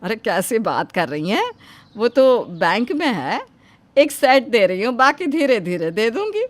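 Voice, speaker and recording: Woman acting careful groom's mom in a story drama, middle aged, coaxing n placating, highish lively voice. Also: unhurried, slight background noise.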